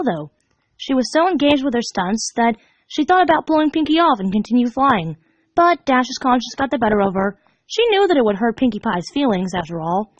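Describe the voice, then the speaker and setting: A woman reading fan fiction aloud as an expressive storybook narrator, animated and dramatic in her delivery. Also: fairly high pitch, no room sound, muffled recording.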